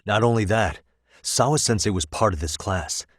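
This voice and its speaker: A man in his thirties voicing a calm, analytical private detective in a video game, speaking in a measured, conversational way. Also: fairly low pitch, slightly rough, no room sound, studio quality. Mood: pensive, focused. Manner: measured, methodical, reasoning aloud. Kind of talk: English video game voice acting, conversational dialogue.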